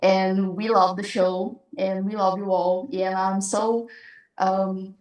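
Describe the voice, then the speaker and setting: A woman fan from Brazil with a Brazilian Portuguese accent, asking a question in nervous, halting English on a video call. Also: mid-range voice, level tone, darkish tone, heavy reverb, distant mic, low-quality recording. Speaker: fan caller.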